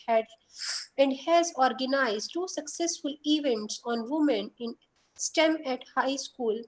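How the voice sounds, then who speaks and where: A woman's voice: high voice, rough recording. A woman hosting an online workshop over a video call, reading a formal introduction of the speaker steadily, with small slips. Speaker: workshop host.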